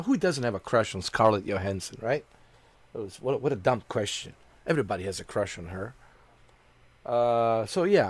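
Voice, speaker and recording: A man born in Bosnia who grew up in America, chatting casually and rambling while streaming a game, with many fillers and repeated words. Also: medium pitch. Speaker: gaming streamer. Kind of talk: casual chat while playing.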